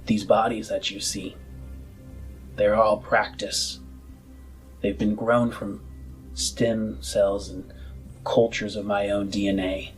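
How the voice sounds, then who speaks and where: Man playing slow, low-voiced solemn visionary politician. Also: little pitch movement, soft background music, low-quality recording. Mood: solemn, measured. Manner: slow, deliberate, philosophical speechmaking with dramatic pauses. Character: solemn, visionary male politician and former scientist.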